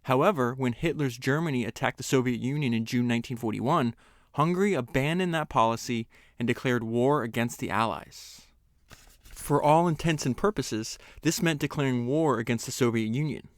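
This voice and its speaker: A man hosting a podcast, reading a book excerpt aloud in an earnest, measured way and then commenting on it. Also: mid-range voice, no room sound. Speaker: podcast host.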